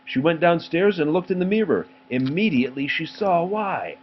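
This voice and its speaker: Animated man reading a children's storybook. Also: fairly high pitch, moderate pace. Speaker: storybook reader. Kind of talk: animated children's read-aloud. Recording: dull home recording, faint noise.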